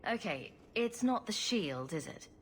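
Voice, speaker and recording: A woman playing a sarcastic, quick-witted rogue heroine in a video game, with a wry manner. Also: medium pitch, very animated. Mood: gentle probing. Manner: wry, flippant, teasing. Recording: faint background ambience noise.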